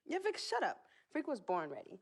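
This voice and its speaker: Sassy, animated young sister. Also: female voice, a little high, a little fast, vocal fry, a little hiss or hum, exaggerated voice. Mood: sassy, bossy. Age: young adult. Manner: sharp, challenging retorts. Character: sassy, bossy, protective young twin sister. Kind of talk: video game cutscene acting.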